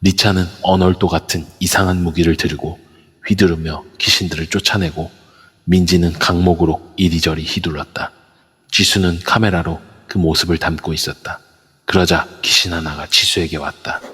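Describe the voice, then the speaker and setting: A man narrating an amateur audio drama in a steady storytelling manner. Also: sits low, moderate pace, husky. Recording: long reverb over a voice app.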